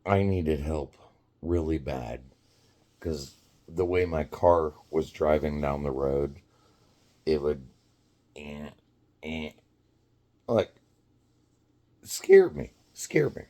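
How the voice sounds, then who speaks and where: Slow, deep-voiced man in his fifties. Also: mellow. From United States. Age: 50s. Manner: rambling, halting, quick to laugh. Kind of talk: casual storytelling.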